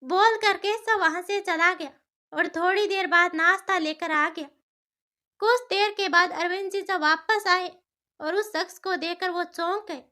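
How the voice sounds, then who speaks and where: A woman's voice: extremely high pitch, measured pace, bright, forceful tone. A woman reading a scripted dramatic story as its narrator.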